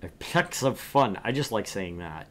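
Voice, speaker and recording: A man's voice: lowish voice, very animated, normal speed, fairly bright tone, slight background noise. A man streaming games and chatting casually in a jokey way. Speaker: game streamer.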